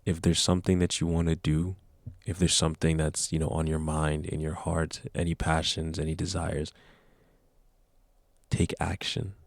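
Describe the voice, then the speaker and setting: A man's voice: very deep voice, level tone, normal speed, vocal fry, no room sound. A nineteen-year-old man talking casually to camera, giving earnest, rambling motivational advice. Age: young adult. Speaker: vlogger.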